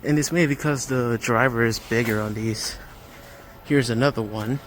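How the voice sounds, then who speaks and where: A man's voice: medium pitch, moderate pace, slight background noise. A man giving casual, matter-of-fact walk-through commentary as a hobbyist vlogger inside a large store. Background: background music in a store.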